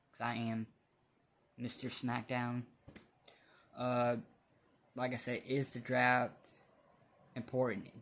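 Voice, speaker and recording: A man giving casual opinion commentary as a fan vlogger, speaking bluntly in a halting, rambling way. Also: medium pitch, vocal fry.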